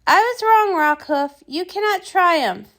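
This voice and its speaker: A cocky, excitable young soldier pony, voiced by a woman, high and swooping. He is boastful and comically brash. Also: slow, exaggerated voice. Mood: defiant.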